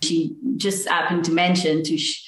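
A man speaking in a news interview, halting and correcting himself. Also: fairly high pitch, little pitch movement, a bit bright, echoey room, rough recording. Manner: halting, self-correcting speech.

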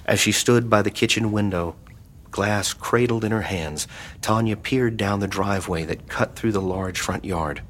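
An actor playing the ominous narrator of a supernatural horror audio drama, speaking in a measured, suspenseful, hushed way. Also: male voice, lowish voice, slight background noise, close to an everyday voice. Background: music.

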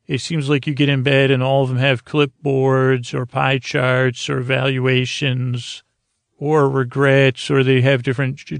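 A man's voice, heavily creaky and gravelly at a middling pitch, recorded dry with almost no room sound. He hosts a bedtime show in a droning, roundabout way, stumbling over words and circling back as he goes. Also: dry recording. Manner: droning, halting, circling back with stammered repeats. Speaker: podcast host. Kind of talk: rambling, meandering bedtime monologue meant to lull listeners to sleep.

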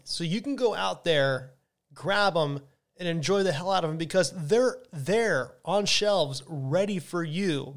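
A man hosting a podcast, talking casually and enthusiastically about wine, often restarting his sentences. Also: on the high side. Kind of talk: casual educational monologue.

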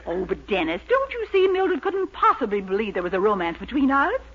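A woman's voice: a little high, very animated, brisk, breathy edge. A woman playing a gushing, man-crazy older spinster in a 1940s radio comedy, squealing and breathless. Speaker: neighbor character in a radio sitcom. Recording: dull old radio broadcast with loud hiss.

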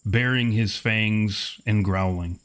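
Man narrating in ornate, deliberate language. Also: fairly low pitch, steady tone, fairly bright tone. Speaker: game master. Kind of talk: narrating a horror tabletop roleplaying game.

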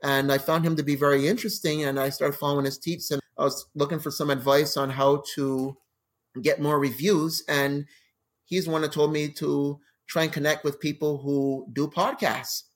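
Canadian guy in his 40s or so, guest on a podcast, telling funny travel stories, kinda high voice, acts stuff out. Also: normal speed. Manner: animated anecdotes with laughs and acted-out quotes. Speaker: guest, crime novelist. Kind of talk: casual interview storytelling.

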